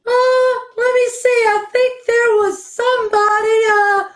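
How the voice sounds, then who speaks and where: A woman playing a dim-witted young female secretary in an old-time radio comedy, with a whiny, breathy delivery over a video call. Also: very high-pitched, steady tone, slow. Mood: airheaded.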